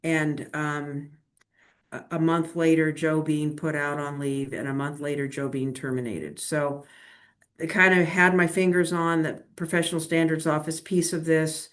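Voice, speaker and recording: A woman administrator explaining agency events to an advisory council at a meeting, in a measured way with many fillers, speaking into a laptop in a meeting room. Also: deep voice, steady tone. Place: meeting room, same room as a colleague. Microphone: laptop microphone.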